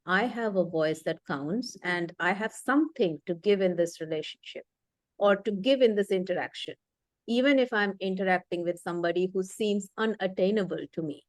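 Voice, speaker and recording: A woman's voice: medium pitch, moderate pace, no room sound. A woman leadership coach explaining concepts thoughtfully in conversation, with frequent fillers and restarts.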